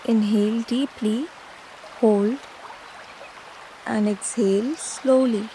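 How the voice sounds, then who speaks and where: A woman leading a guided meditation, giving soothing, measured instructions with long pauses between phrases. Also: fairly high pitch, fairly even intonation, warm tone, slight background noise, poor audio. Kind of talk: slow guided meditation narration.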